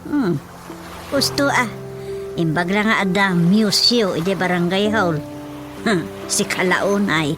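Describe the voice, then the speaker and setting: A woman's voice: fairly low pitch, takes it slowly, long pauses, music in the background. A woman with an Ilocano accent playing a chatty, teasing middle-aged villager in a radio comedy drama.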